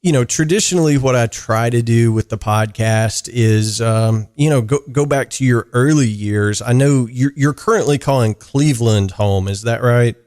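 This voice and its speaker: A man hosting a podcast interview in relaxed, easygoing chat, often stumbling over words and filling pauses. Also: medium pitch. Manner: easygoing, lots of fillers and restarts. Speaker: podcast host.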